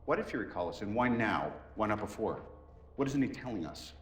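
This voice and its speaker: Sarcastic billionaire inventor, lowish pitch with lively swings, game dialogue in an echoey space. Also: male voice, fairly quick, a little hiss or hum. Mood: suspicious. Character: cocky, quick-witted billionaire inventor superhero. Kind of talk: animated game cutscene dialogue. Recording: echoey, faint music behind.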